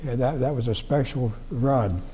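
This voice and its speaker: An elderly man from Ohio, in his nineties, recalls old times in plain, halting speech full of restarts. His voice is dark and mellow. It rings with a long reverb in a library room, and a faint noise sits underneath. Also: medium pitch. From Ohio, USA. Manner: halting, plain-spoken, frequent restarts and fillers. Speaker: veteran interviewee. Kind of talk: oral history recollection.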